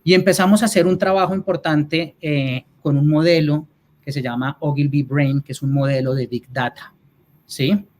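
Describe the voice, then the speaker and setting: A man's voice: fairly high pitch, fairly even intonation, normal speed, darkish tone, a little hiss or hum. A man from Colombia with a Colombian accent, answering questions as a guest speaker in an explanatory way, often checking that he is followed. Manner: explanatory, frequent check-in tags. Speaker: advertising executive, guest speaker. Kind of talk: answering audience questions in an online talk.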